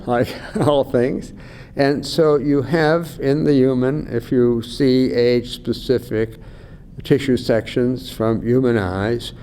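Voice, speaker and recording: An older man, an eye researcher, explaining slowly and carefully in an interview, acting out doctors and patients as he goes. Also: darkish tone. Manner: measured, acts out doctor and patient. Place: conference venue. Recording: faint low rumble behind.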